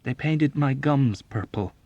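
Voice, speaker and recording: Man as a meek, plaintive chaplain, raised pitch. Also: big pitch swings, a little fast, slightly rough, no room sound, highs cut off. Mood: sheepish. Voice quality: soft. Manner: plaintive, apologetic, earnest. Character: meek, sheepish, unhappy military chaplain, plaintive and self-justifying.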